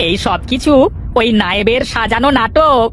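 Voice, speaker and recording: A woman's voice: a little high, expressive, normal speed, a bit bright. A woman voicing a servile, cowardly village henchman in a cartoon, with music underneath. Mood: pleading. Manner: servile, whining. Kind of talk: cartoon character dialogue.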